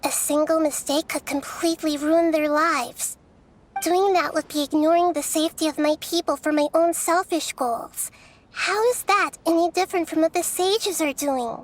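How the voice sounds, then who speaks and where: A woman voicing a gentle, wise, youthful goddess in an anime video game, speaking in a soft, girlish, measured and thoughtful way. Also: high-pitched, steady tone, close to an everyday voice. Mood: earnest, cautious. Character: wise, gentle young-looking female god of wisdom, earnest and thoughtful. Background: background music.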